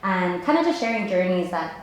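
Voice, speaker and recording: A woman hosts a podcast in a relaxed, friendly way. She asks questions and fills in with quick encouraging replies. Her voice carries a heavy room echo. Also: lowish voice, slight background noise. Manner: friendly, lots of encouraging backchannels. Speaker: podcast host. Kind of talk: casual interview chat. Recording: very echoey room.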